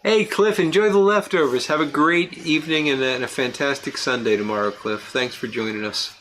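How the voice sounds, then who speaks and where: A man's voice: mid-range voice, fairly quick, a bit bright, slight background noise. A man hosting a livestream, chatting casually and answering viewers by name. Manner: chatty, answers chat comments by name, laughs easily. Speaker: livestream host reading viewer chat. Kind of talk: casual live chat.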